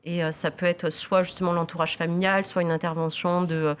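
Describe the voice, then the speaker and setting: Woman social service head, TV talk show guest, measured explanations, frequent fillers, lowish voice. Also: little pitch movement, clear, resonant tone.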